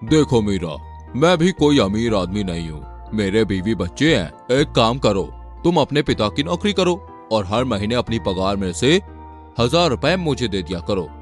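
A man's voice: on the low side, sing-song, unhurried. A man voicing a pushy, calculating middle-aged bus owner in a cartoon, insistent and patronising. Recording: music behind.

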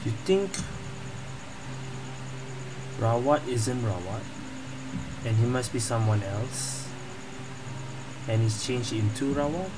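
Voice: medium pitch, lively intonation, takes it slowly, pauses often, heavy background noise. A gamer giving casual gameplay commentary, muttering and puzzling aloud. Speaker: gaming streamer. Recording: distant mic under loud game music. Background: loud game music.

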